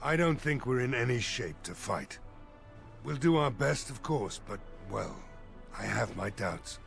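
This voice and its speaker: An actor playing a weary, worried village mayor in a fantasy game, with game music behind him. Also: male voice, mid-range voice, animated, measured pace, creaky, fairly bright tone. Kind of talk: video game voice acting.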